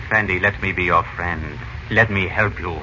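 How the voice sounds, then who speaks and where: Sharp-voiced, menacing desert messenger. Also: male voice, sits low, normal speed. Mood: smooth, insinuating. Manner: formal, ingratiating, veiled threats. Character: sly male desert messenger, servile yet menacing. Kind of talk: 1940s radio serial acting. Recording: dull lo-fi old radio, faint noise.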